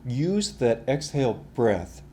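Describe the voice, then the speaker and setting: A man talking through voice and breathing exercises in a relaxed, conversational way. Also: mid-range voice, slow, slight background noise. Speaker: voice coach. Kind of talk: instructional talk.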